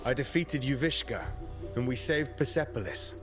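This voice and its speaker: A man's voice: mid-range voice, lively intonation, normal speed, lightly acted. A male actor playing a proud, cocky young Persian warrior in a video game, speaking tersely with self-assurance. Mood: proud, brooding. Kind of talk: dramatic video game acting. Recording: music behind the voice.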